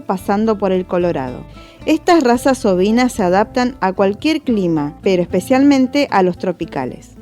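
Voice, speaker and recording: A woman's voice: on the high side, warm tone. An Argentine woman presenting on radio with scripted, informational narration. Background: faint music bed.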